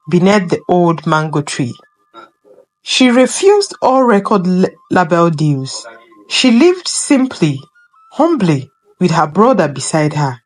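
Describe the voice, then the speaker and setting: A woman storyteller narrating a scripted folktale in a dramatic, deliberate manner. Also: on the low side, low-quality recording.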